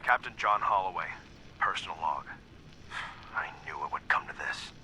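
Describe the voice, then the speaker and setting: A very deep, gruff security captain. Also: male voice, moderate pace, bright, forceful tone, a little hiss or hum, low-quality recording. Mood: grim, weary. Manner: blunt, sardonic, folksy. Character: gruff, cynical male security captain, weary and distrustful. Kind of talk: scripted video game audio log.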